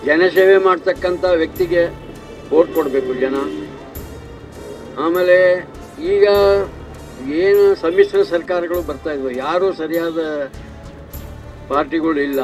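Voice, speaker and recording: A man's voice: fairly high pitch, unhurried, long pauses, faint music behind. A man giving his opinion in a street interview in short, broken phrases. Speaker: member of public interviewed. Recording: distant microphone.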